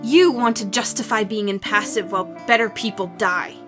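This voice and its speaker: A woman's voice: mid-range voice, normal speed, lightly acted. A woman playing an earnest, defiant fifteen-year-old village girl who speaks in a challenging way, in a fantasy audiobook. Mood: accusing. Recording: music behind the voice.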